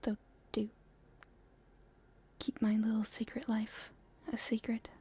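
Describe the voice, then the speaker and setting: A woman playing a playful young princess in love, speaking softly and breathily in an intimate ASMR romance roleplay, with gentle, halting delivery. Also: on the high side, level tone, heavily acted. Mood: soft, confiding. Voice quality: breathy, whispery, soft.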